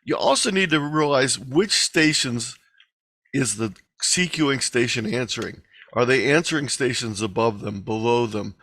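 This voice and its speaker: A man presenting an instructional webinar lecture with slides, explaining in a measured, deliberate way over a video call microphone. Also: mid-range voice, fairly bright tone, no echo.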